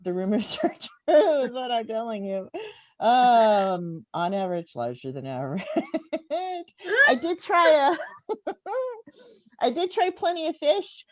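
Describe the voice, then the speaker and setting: Woman in her fifties doing animated stand-up. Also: on the high side, slow pace, long pauses. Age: 50s. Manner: conversational, often chuckling at own jokes. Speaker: stand-up comedian. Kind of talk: stand-up comedy set to an audience. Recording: dull, echoey live venue recording.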